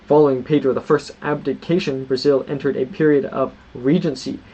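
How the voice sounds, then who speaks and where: A man of ordinary middle pitch hosts a history lesson, reading prepared notes in an even, steady way. He often stops to restart a word or to add a loose, unscripted aside of his own. The recording sounds slightly dull, with a faint hiss of noise behind him. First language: not Portuguese. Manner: reads scripted points, then adds loose unscripted asides with restarts. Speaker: history channel host.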